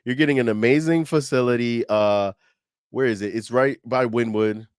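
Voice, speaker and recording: A man's voice: mid-range voice, moderate pace, clean tone, no room sound, studio quality. A man co-hosting a podcast, talking casually and animatedly with slang and fillers. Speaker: podcast co-host.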